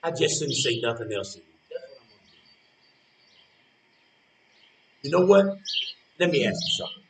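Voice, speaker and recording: A man's voice: on the high side, big pitch swings, quick pace, a bit bright, echoey room, distant mic. A man playing a grumpy, defensive character in a stage play, bickering with a rival in a combative, dismissive, colloquial way. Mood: irritated, dismissive.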